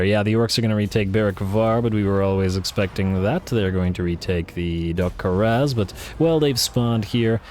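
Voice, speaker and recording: A man hosting a let's play, giving chatty, excitable play-by-play of a strategy battle while losing his voice a little. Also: fairly low pitch, a little hiss or hum. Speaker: gaming channel host.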